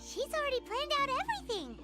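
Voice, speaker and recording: An actress voicing a tiny, excitable childlike fairy companion in a video game, with an animated manner. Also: female voice, very high-pitched, fast, full character voice. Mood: curious. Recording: game audio over clear music. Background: music.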